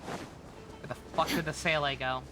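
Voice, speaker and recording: A woman's voice: sits low, steady tone, measured pace, over music. A woman doing casual live gameplay commentary as a streamer, irreverent, joking and swearing freely. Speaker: game streamer.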